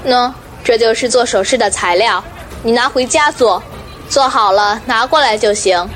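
A woman's voice: on the high side, moderate pace, a bit bright, soft background music, lightly acted. A woman playing a businesslike handicraft employer in an audio drama, matter-of-fact. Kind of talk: Chinese audio drama acting.

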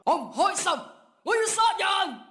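A man's voice: extremely high pitch, big pitch swings, moderate pace, sharp tone, shouting, heavily acted. A man playing a frantic, whiny, aggrieved knife-wielding gambler in a comic radio drama, speaking Cantonese. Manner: ranting, whiny, exclaiming. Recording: roomy, echoing voice track.

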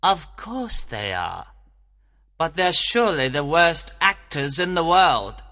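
A man's voice: on the high side, big pitch swings, slow, sharp tone, pitched above the performer's own voice, exaggerated voice. A man voicing a mischievous, scornful woodland sprite in a storybook, sly and mocking.

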